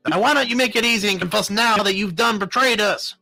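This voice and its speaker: A man voicing a sharp-tongued outlaw woman in an audiobook western, combative, with drawling cowboy slang. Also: high-pitched, fast, gravelly, faint music behind, voice pushed up, full character voice. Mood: accusing.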